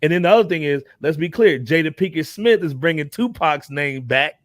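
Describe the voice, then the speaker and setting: A man's voice: a little high, animated, brisk, no room sound, studio quality. An American man hosting a casual hip hop panel discussion, talking emphatically in slang and repeating phrases. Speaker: talk show panel host.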